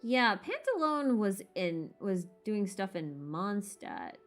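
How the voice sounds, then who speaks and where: A woman's voice: mid-range voice, very animated, slow. Female livestream host, informal and conversational, thinking aloud, with music underneath. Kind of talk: casual chat, game lore rambling. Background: background music.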